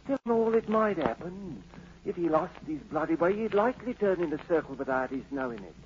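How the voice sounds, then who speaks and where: Male actor as scornful Cockney trader, animated pitch, slangy jeering, radio play, faint hiss. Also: a little fast, natural voice. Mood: sceptical. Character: mocking, scornful Cockney trader, a rough man. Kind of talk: 1940s radio drama acting. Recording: muffled, hissy old radio recording.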